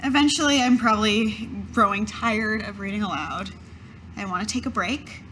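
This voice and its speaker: A woman playing a tabletop game, speaking in character in a conversational, halting way. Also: mid-range voice, fairly bright tone, slight background noise, poor audio. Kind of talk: improvised in-character roleplay in own voice.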